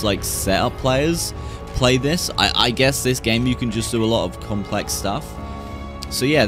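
A young man in his twenties talks through gameplay clips in a loose, rambling way, reacting with bursts of hype. His voice sits at an ordinary pitch for a man. He speaks into a studio mic from a small home room, with music playing clearly underneath. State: recovering from losing his voice. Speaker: gaming YouTuber. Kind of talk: casual gameplay commentary. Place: small room, gaming setup. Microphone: studio mic on boom arm, webcam.

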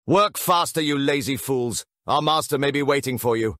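A man voicing a scheming, obsequious demon lord in a theatrical, shrill audiobook performance. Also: normal speed, a bit bright, dry recording, studio quality, lightly acted. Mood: scornful, urgent. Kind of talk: audiobook character acting.

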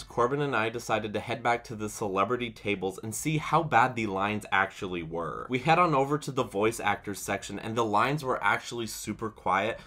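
A man's voice: mid-range voice, a bit bright. A young man narrating a casual vlog, enthusiastic and upbeat about his finds. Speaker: vlogger.

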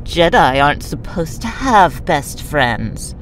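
A woman playing a guarded, remorseful former assassin in an audio drama, with halting, intense delivery. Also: low-pitched, very animated, noisy background, full character voice. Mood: cold, menacing.

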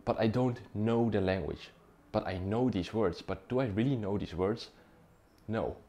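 A man's voice: lowish voice, moderate pace, mellow. A young man whose first language is Dutch, explaining at a whiteboard in a casual way and often checking in with "right?" and "okay?". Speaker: language-learning YouTuber. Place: small dim room indoors.

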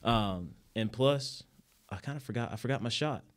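A man's voice: medium pitch, normal speed. Upbeat man hosting a school podcast. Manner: upbeat hype-man welcomes with fillers. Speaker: podcast host, history teacher.

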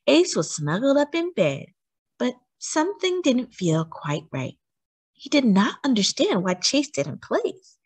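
A woman reading aloud to children as a storyteller, with an animated, expressive delivery that acts out the story. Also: medium pitch, slightly rough. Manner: animated, acting out sighs and cheers.

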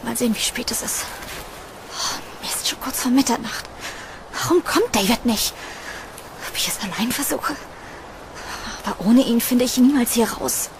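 A woman's voice: on the high side, normal speed, long pauses, slight background noise, close to an everyday voice. A woman playing an anxious young student trapped in a haunted house in a horror audio drama. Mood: anxious waiting.

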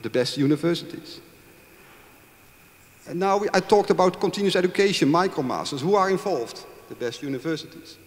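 A man's voice: fairly high pitch, lively intonation, a little fast. A man in his fifties speaking emphatically as a panelist, arguing with rhetorical questions into a handheld microphone. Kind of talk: panel discussion argument. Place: large conference room with audience. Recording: echoey hall over handheld microphone.

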